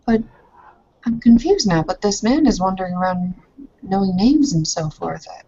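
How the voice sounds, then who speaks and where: Confused young werewolf newcomer played by a woman, halting, naive and questioning, tabletop roleplay, dull lo-fi sound. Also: mid-range voice, animated, warm tone, husky. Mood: confused, uneasy. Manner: halting, questioning, repeats herself. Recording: dull lo-fi voice recording.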